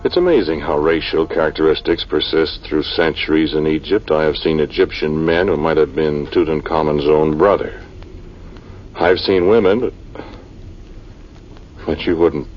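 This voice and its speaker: An actor playing a level-headed archaeologist who narrates an eerie tale in measured, suspenseful 1940s radio drama style. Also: male voice, very deep voice. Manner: measured, brooding narration; brisk commands in dialogue. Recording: muffled, noisy 1940s radio recording.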